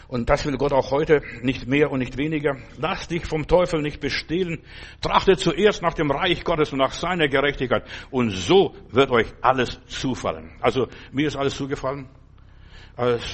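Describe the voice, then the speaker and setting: An older man delivers an informal sermon in German, which is not his native language. His voice sits a little high, and he talks chattily, often checking in with "verstehst du?" and stumbling over restarts. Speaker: street evangelist preacher.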